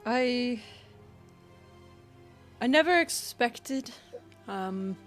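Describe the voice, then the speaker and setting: Woman doing a sad older king, halting and soft. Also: mid-range voice. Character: older grieving male king, remorseful.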